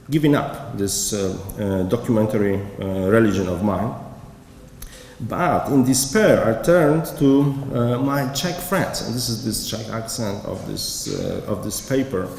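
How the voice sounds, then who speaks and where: Halting man in an echoey hall. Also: mid-range voice, darkish tone. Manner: halting, hesitant, with many pauses. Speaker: curator. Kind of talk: conference lecture reading a prepared paper. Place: lecture hall. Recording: echoey hall, faint background noise.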